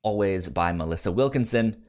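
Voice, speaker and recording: A man's voice: low voice, lively intonation, moderate pace, a little mellow. A man hosting a podcast in casual chat about movies, often stammering and repeating words as he gets into a sentence. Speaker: podcast host.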